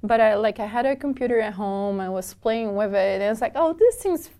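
Woman, halting friendly speech. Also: medium pitch, animated, mellow. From southern Brazil. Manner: hesitant, many restarts, laughs easily. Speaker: interview guest, documentation developer. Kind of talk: casual interview chat.

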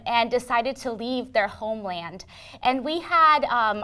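Animated woman from Ukraine, higher voice. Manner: animated storytelling with frequent ums and laughs. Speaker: interview guest, engineering manager. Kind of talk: casual interview talk.

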